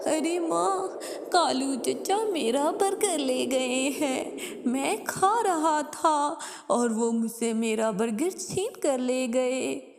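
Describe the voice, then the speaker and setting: Woman doing little cartoon bird kid, high n whiny, tattling, echoey. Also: drawn-out words, exaggerated voice. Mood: whining, upset. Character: whining little bird boy complaining to mother. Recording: noisy, long hall-like reverb.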